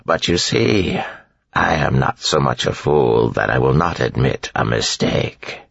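A man voicing a cruel, gloating male priest who speaks slowly in a low, hushed, menacing way. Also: expressive, creaky, husky, dry recording, highs cut off, heavily acted.

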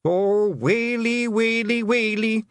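High-pitched Scottish little clan chief. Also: male voice, very animated, moderate pace, a bit bright, dry recording, studio quality, exaggerated voice. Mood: wailing dread. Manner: exclamatory, pleading, dialect-heavy. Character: small rowdy blue clan leader, anxious and deferential.

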